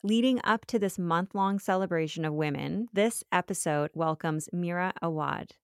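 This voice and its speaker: A woman hosting a podcast, reading a scripted intro and then chatting with her guest in an upbeat, expressive way. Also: lowish voice, normal speed, smooth, no echo, clean studio sound. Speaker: podcast host. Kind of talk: scripted intro narration and interview chat. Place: home closet.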